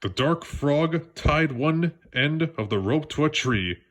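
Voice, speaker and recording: A man reading a storybook aloud for children in a deliberate, expressive way. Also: a little high. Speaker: storybook reader. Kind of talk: children's read-aloud narration.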